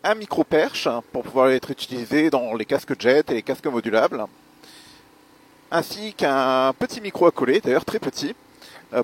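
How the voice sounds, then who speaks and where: A man giving a spoken gear review as a motovlogger, explaining things in a measured, hesitant way with pauses. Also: medium pitch, husky. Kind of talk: spoken product review while riding.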